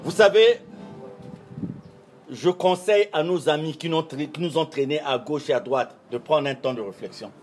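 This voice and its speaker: A high-voiced man speaking French as a second language, delivering a formal interview statement as a church official. He talks in a measured, oratorical way, repeating phrases for emphasis. Faint music plays behind him. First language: not French.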